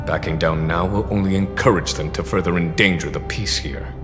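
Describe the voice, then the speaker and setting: Guy voicing dignified general in a game, lowish formal voice, deliberate, music behind him. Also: natural voice. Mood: resolute. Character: resolute, dignified male general of noble bearing. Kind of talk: English video game voice acting. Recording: long reverberant hall, music behind.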